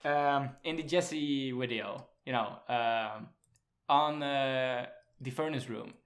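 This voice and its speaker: A man's voice: medium pitch, a bit bright. Young male co-host, Swedish accent, casual podcast banter, giggly, profane, hesitant. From Sweden. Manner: laughs often, swears casually, halting with fillers. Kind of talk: casual chat.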